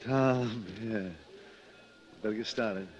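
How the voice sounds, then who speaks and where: An actor playing a scheming crook who poses as an old pal in a radio drama, with a halting, repetitive, offhand delivery. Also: male voice, on the low side, a little mellow, dull audio. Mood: falsely chummy.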